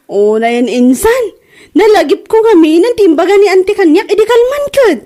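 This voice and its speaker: A woman with an Ilocano Filipino accent playing a nosy, excitable young cousin in a comedy drama, exclaiming in a whiny, mocking way. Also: very high voice, moderate pace. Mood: amused apology.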